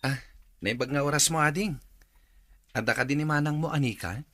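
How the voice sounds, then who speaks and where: A man's voice: a little high, fairly quick, a bit bright, no room sound. An actor playing a friendly, courteous young man visiting in a radio drama, with a Filipino accent. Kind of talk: Ilocano radio drama acting.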